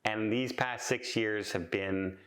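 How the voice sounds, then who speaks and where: A chatty man speaking in a slightly low, calm voice. He talks in a heartfelt, rambling way, often restarting his sentences as he reflects. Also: slow pace. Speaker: travel vlogger. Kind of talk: casual reflective vlog talk.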